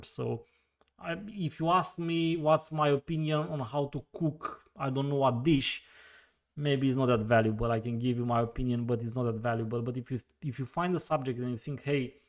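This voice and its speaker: A man with a Romanian accent hosting a live question-and-answer session, thinking aloud with frequent fillers and repeated words. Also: mid-range voice. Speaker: host answering viewer questions.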